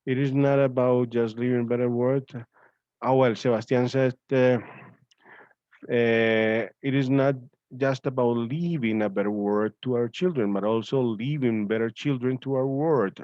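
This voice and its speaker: A man hosts an online event informally, speaking haltingly and repeating himself. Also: medium pitch, dark tone, no echo. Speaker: host of a student reading-aloud event. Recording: dull, lo-fi online call audio.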